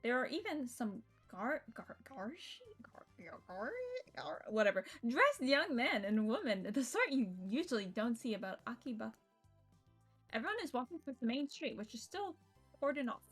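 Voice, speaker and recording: A woman's voice: a little high, very animated, normal speed, a bit bright, slightly rough. A woman streaming a visual novel, reading it aloud expressively and breaking off into casual, reactive asides. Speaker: game streamer. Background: game music.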